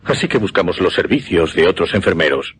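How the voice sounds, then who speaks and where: Deep-voiced, intense Castilian mesmerist. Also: male voice, lively intonation, fairly bright tone, slightly rough, exaggerated voice. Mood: solemn, deliberate. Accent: Castilian Spanish. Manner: deliberate, dramatic pauses, emphatic repetition. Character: intense male mesmerist recounting his macabre experiment. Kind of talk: suspense radio drama, theatrical storytelling.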